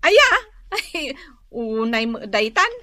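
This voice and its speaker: Casting: chatty, teasing rural gossip for radio comedy; high, unhurried, drawling voice. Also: female voice, sing-song, bright, forceful tone, full character voice. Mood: amused, teasing. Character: teasing, chatty village woman who loves gossip. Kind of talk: comedy radio drama acting.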